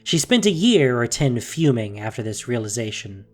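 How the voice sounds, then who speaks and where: Storytelling narrator, mid-range voice, expressive pitch, measured dramatic delivery, faint music behind.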